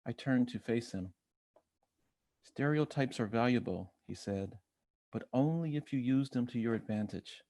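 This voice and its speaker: An American man reading a personal essay aloud in measured literary narration, voicing bits of quoted dialogue as he goes. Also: medium pitch, warm tone, no room sound. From Chicago, United States. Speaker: guest essayist reading own work.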